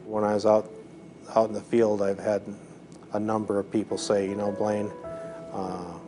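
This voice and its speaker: A man in his forties from Minnesota telling his story thoughtfully in an interview, pausing often. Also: lowish voice, level tone. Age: 40s. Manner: thoughtful, many "uh" pauses. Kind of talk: reflective interview testimony. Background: soft music.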